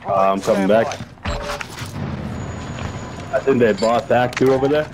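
Seorang pria dari Amerika Serikat beraksen Amerika, rekan satu tim dalam game, berbicara santai dengan bahasa gaul ala "bro". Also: male voice, medium pitch, measured pace, noisy background. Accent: American English. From United States. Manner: laid-back slangy bro talk. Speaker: random teammate. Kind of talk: casual gaming chat.

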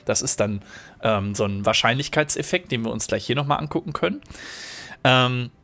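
A man's voice: medium pitch, lively intonation, normal speed, fairly bright tone. German man explaining a strategy game. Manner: thoughtful, explains at length with many fillers. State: recovering from a cold, coughing. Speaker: let's play host. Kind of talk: explanatory gameplay commentary.

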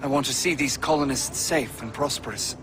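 An actor playing a courteous, formal older army colonel in a video game. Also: male voice, medium pitch, lightly acted. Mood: earnest. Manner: formal, measured. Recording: game audio with music behind.

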